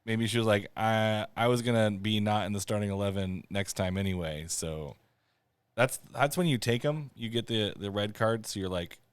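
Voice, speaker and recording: A man's voice: lowish voice, normal speed, smooth, dry recording. A man co-hosting a casual sports podcast, wry and hesitant, with lots of fillers. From San Diego area. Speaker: podcast co-host.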